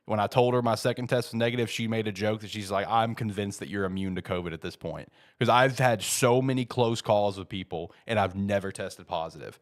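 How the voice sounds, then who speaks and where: A man's voice: lowish voice, fairly quick, hardly any pauses. A man hosting a sports talk show, speaking informally and repeating himself for emphasis, slightly stuffed up with a head cold. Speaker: host of a football show. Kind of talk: casual sports talk, opinionated commentary.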